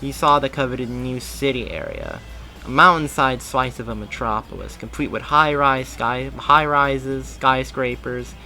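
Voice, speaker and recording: A man reading fan fiction aloud in a steady way, with small slips and restarts. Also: medium pitch, measured pace, faint music behind, low-quality recording. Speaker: story reader.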